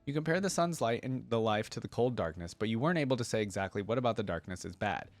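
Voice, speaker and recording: Fast-talking man, dry close sound. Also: fairly low pitch, lively intonation, dry recording, faint music behind. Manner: informal, conversational. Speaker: host of a fan reaction video. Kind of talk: casual reaction commentary mixed with reading story text aloud.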